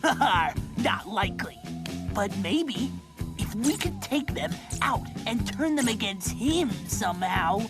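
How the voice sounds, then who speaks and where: A scheming cartoon villain acted by a woman drawls with theatrical, mock-sweet menace. The pitch swings widely as the gloating builds, and loud music plays behind. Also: expressive, takes it slowly. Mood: sly, mock-sweet. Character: scheming, gloating villain with mind-control plot. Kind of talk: cartoon fan dub acting.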